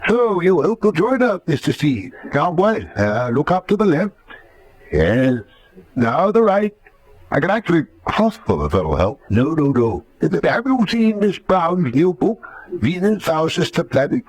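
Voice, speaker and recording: Dithering, husky eccentric doctor. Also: male voice, fairly high pitch, very animated, unhurried, dry recording. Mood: dithering, chatty. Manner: hesitant, muttering, repeating himself. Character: fussy, dithering, eccentric male doctor, easily alarmed. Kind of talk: radio drama acting.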